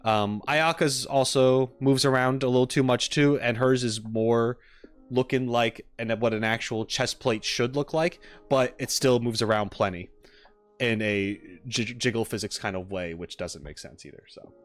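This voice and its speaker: A man's voice: medium pitch, a little slow, soft background music. A man chatting casually on a livestream as the streamer, in an informal, rambling way with joking asides. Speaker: live streamer reacting and chatting with viewers.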